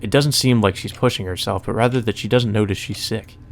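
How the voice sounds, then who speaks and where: A man's voice: on the low side, fairly quick, vocal fry, a little hiss or hum. A man hosting a let's play, reading a visual novel aloud and adding casual commentary. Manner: casual, profane asides. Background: music.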